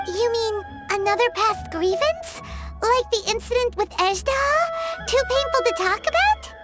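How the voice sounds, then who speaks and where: Tiny earnest game fairy, extremely high and squeaky, very sing-song delivery over loud music. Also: female voice, measured pace. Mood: pleading, frustrated. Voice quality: squeaky, childlike. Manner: refers to self in third person, expressive. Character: small childlike female fairy companion, earnest, pleading. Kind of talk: video game voice acting.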